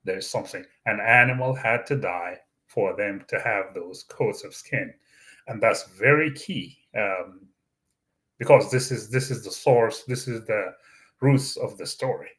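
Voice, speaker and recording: Slow-speaking man, Arabic native speaker. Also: on the low side, a bit bright. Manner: slow, deliberate, with frequent fillers and restarts. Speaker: YouTube channel host. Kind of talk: Bible teaching monologue.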